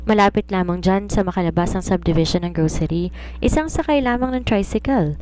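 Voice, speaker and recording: A woman's voice: medium pitch, a little fast, dark tone, natural voice, rough recording. A woman storyteller voicing a female judge who runs her household, practical and matter-of-fact as she gives instructions. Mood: practical, checking.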